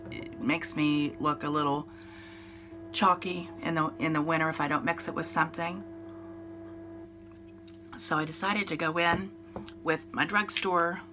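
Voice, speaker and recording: A woman's voice: deep voice, pushed and bright, slight background noise. Nasal, congested woman in her fifties. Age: 50s. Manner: chatty, rambling, with fillers and restarts. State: congested from a cold. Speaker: beauty vlogger. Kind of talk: casual makeup tutorial chat.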